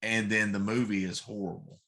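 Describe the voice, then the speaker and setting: A man's voice: on the low side, fairly even intonation, a little fast, a bit bright. A middle-aged man co-hosting a casual talk show, giving emphatic, grumbling opinions and repeating himself for effect. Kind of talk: casual opinionated chat about pop culture.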